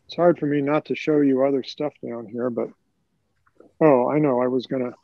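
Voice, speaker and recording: A man's voice: mid-range voice, steady tone, moderate pace, no room sound, highs cut off. An older man giving an informal live tutorial, explaining things in a conversational way. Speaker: presenter, hobbyist teaching video editing.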